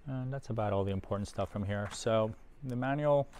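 A middle-aged man giving casual, unhurried unboxing commentary, thinking aloud as he handles things in a workshop. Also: on the low side, a little mellow, slight background noise. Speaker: host of an audio gear unboxing video. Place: indoor workshop at a workbench.

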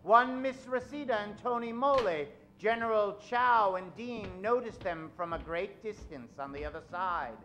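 A man's voice: high voice, a little slow, few pauses, bright, forceful tone. A man in his forties hosting and narrating in a theatrical old-time radio style on a stage. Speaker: host and narrator. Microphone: vintage-style stand microphone.

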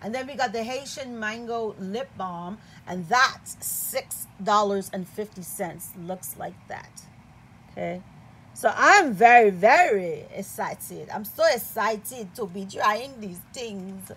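A woman chatting casually and excitedly as she unboxes products at a table indoors. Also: mid-range voice, slow pace, slight background noise, low-quality recording. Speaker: product reviewer.

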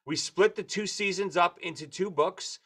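A man in his forties with a New York accent, a touch higher in pitch than most men. He tells his stories in a lively, animated way over a video call from his home. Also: normal speed. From Brooklyn, New York. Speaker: guest drummer and author. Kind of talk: casual interview chat. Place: home room with lights and posters.

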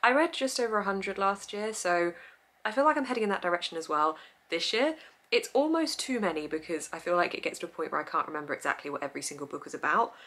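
A woman's voice: medium pitch, sharp tone. A young woman, a book vlogger chatting upbeat to camera at home, laughing often as she goes through her reading. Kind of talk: casual chat to camera. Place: home room with full bookshelves.

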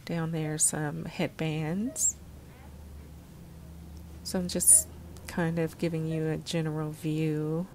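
A woman vlogger giving a casual, unhurried, descriptive narration of what she is showing while shopping. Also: deep voice, little pitch movement, darkish tone, a little hiss or hum.

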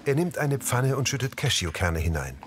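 A man's voice: medium pitch, very animated, normal speed, over music. A man reading scripted audio description, narrating the scenes of a drama.

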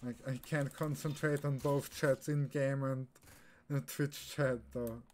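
A man's voice: medium pitch, flat delivery, a little slow, a little mellow. A young man from Austria, a native German speaker with an Austrian accent, giving casual live commentary as he streams a game. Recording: clear keyboard and background noise.

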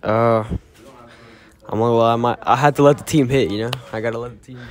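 A man's voice: mid-range voice, steady tone, fast. A teenage male interviewee chatting casually in slang, often repeating himself. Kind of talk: casual chat. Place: school classroom.

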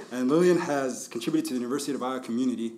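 A man's voice: mid-range voice, quick pace, a little mellow. A man hosting a podcast interview, upbeat and friendly, laughing often as he introduces his guest. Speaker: podcast host.

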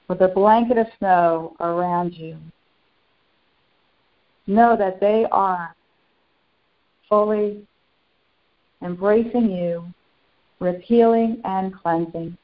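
A woman channeling a serene, benevolent spiritual master, guiding a meditation in slow, short phrases with long pauses. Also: mid-range voice. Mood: serene, reverent. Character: serene, benevolent ascended master St. Germain addressing followers. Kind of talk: guided meditation. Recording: dull lo-fi sound.

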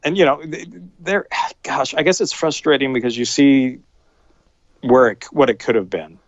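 A man co-hosting a casual film review podcast, talking in halting bursts with many restarts. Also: medium pitch, no echo, highs cut off. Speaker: podcast co-host.

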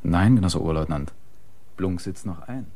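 An actor playing a brisk, dry police detective in a German radio crime drama. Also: male voice, low voice, warm tone. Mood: matter-of-fact. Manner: clipped, dry, matter-of-fact.

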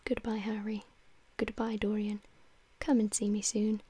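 Female reader as gloomy man, artist, breathy whisper, earnest, soft mellow tone, audiobook. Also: mid-range voice, flat delivery, a little slow, no echo. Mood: resigned. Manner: muttering, sighing, earnest. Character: gloomy, earnest, pleading male painter.

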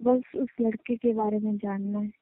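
A woman's voice: medium pitch, steady tone, moderate pace, no room sound, close to an everyday voice. A young woman playing a coy, touchy girlfriend in a conversational couple's phone-call drama. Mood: annoyed. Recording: thin, phone-like call audio.

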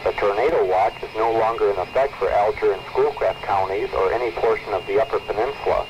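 A man reading scripted weather bulletins as a radio announcer, in formal, measured phrases. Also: fairly low pitch. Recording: muffled, noisy 1970s weather radio recording.

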